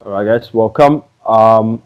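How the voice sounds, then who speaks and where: A man with a low voice hosts a casual online chat and keeps prompting the others to speak. He sounds encouraging as he moderates. His audio is dull and lo-fi. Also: unhurried, clear, resonant tone. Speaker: host. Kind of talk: casual video call chat.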